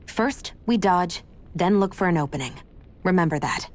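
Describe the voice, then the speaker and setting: A woman's voice: fairly low pitch, animated, moderate pace, natural voice. An actress playing a prickly, haughty young woman fighter in a fantasy video game, curt and aloof. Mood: stern warning. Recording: game music under the voice.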